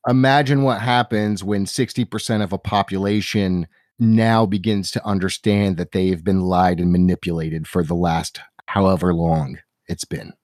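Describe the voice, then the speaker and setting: Low-voiced man running a fantasy game. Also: steady tone, moderate pace, a little mellow, dry recording, studio quality. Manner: descriptive second-person storytelling. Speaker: game master. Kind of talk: narrating a tabletop fantasy game.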